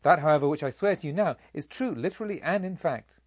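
A man's voice: fairly high pitch, expressive, fast, breathy edge, dry recording, muffled recording. A man voicing a fierce but humbled robber who questions a monk with earnest, formal respect, as in a dramatised audiobook. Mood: defensive protest. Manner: emphatic, pleading, formally respectful.

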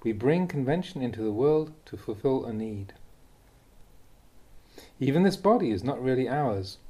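A man, a monk, reading aloud a Buddhist teaching in a calm, deliberate way. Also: husky. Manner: calm, deliberate reading with lively pitch on key words. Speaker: monk reading Dhamma talks.

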